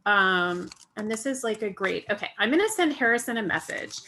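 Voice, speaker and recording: A woman speaking as a professor in an informal, interactive way, brisk and direct. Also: medium pitch, animated, bright, forceful tone, a little hiss or hum. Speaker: audio journalism professor.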